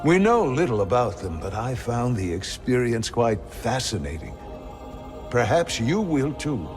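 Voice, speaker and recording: Older male mentor for a game: medium pitch, very animated, courteous and learned, over music. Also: a little slow. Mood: kindly, intrigued. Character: scholarly older male mentor, kindly and curious. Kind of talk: scripted video game dialogue.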